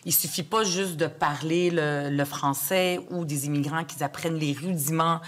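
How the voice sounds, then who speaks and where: Woman with a Québécois accent, lowish voice, long hesitant questions, committee hearing. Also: steady tone, normal speed, a little hiss or hum. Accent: Québécois French. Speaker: legislator questioning witness.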